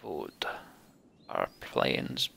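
A man's voice: a bass voice, sing-song, extremely slow, creaky, pushed and bright, a little hiss or hum, pitched below the performer's own voice, exaggerated voice. A man voicing a proud, earnest horse-man warrior from a game, speaking in short, broken fragments. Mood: solemn, halting.

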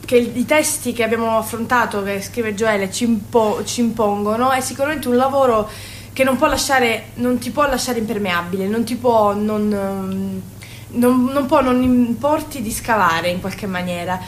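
A woman's voice: a little high, normal speed. A woman answering in a conversational radio interview, emphatic and often rephrasing herself as she goes. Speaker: stage actress being interviewed. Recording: faint ambient background noise.

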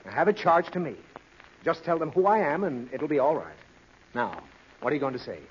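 A man's voice: fairly high pitch, lively intonation, brisk, close to an everyday voice. An actor playing a harried, exasperated father in 1930s radio comedy, blustering and whining. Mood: hurried, instructing. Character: harried, exasperated father, quick to threaten spankings. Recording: dull, noisy 1930s radio recording.

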